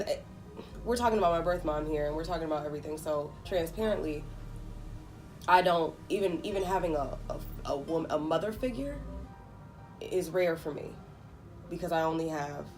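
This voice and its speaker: A woman in her twenties speaking candidly as an interview guest, reflective and halting, with casual swearing. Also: deep voice, animated, darkish tone, recorded from a distance, rough recording. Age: 20s. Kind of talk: candid personal conversation. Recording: off-mic voice under prominent music. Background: music.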